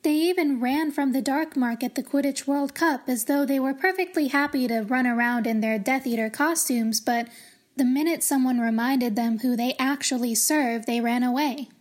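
A woman's voice: high voice, moderate pace. A woman hosting a podcast, talking through literary analysis in an explanatory way with wry, casual asides. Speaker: podcast host.

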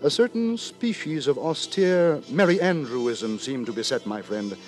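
An actor playing an earnest, long-suffering, moralizing gentleman narrator in a theatrical, formal 1950s radio drama. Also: mid-range voice, animated, normal speed, close to an everyday voice. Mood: uneasy, fretful. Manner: theatrical, formal, fretful storytelling. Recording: 1950s radio broadcast with music.

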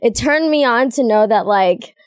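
A young woman from the Middle East, a native Farsi speaker, chatting candidly as a podcast guest. Her voice sits a little high and sounds close and dry, and she laughs often as she tells her stories. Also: lively intonation, normal speed, clean tone, dry recording. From Middle Eastern. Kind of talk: casual podcast chat.

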